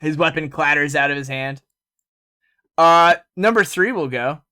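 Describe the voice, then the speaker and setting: Game master, man, bright animated voice, loose chatty narration. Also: on the high side, a little slow, clean tone. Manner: animated, laughs often, many fillers.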